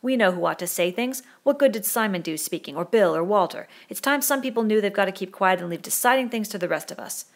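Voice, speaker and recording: A woman voicing a domineering, scornful boy hunter in an audiobook, contemptuous, forceful and loud. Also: medium pitch, expressive, fast, hardly any pauses, close to an everyday voice. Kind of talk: audiobook character dialogue.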